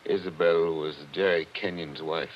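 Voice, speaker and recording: Man playing a low-voiced, brooding radio-drama gentleman. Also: steady tone, moderate pace, slight background noise, lightly acted. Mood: haunted, brooding. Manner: dramatic, brooding, theatrical delivery. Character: wistful man haunted by memories, old family friend. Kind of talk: 1940s radio drama acting. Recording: dull vintage radio recording, music behind.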